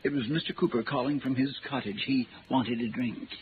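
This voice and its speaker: A man's voice: medium pitch, fairly even intonation, brisk, lightly acted. An actor playing a polite, precise male desk clerk in an old radio drama, deferential and slightly hesitant. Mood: earnest, helpful. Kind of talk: 1940s-50s radio noir acting. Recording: noisy, narrow old radio recording.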